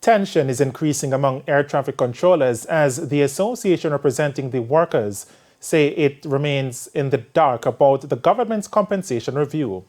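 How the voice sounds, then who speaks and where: A man's voice: fairly high pitch, expressive, moderate pace, darkish tone. A man with a Jamaican accent reading the news from a script as an anchor.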